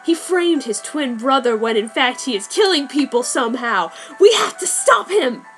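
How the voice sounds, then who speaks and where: A woman voicing an eccentric, excitable young male detective who bursts out in squeaky exclamations. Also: moderate pace, faint music behind. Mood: excited.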